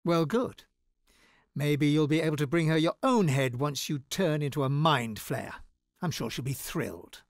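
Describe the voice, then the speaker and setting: A wry bookish wizard, highly animated. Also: male voice, normal speed, no room sound, studio quality. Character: bookish wizard, wry, anxious, guarded scholar of devils. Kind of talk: video game voice lines.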